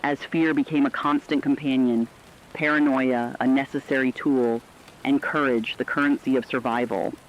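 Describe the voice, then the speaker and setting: A man delivers dramatic scripted narration with a solemn, measured manner. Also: medium pitch, fairly even intonation, highs cut off.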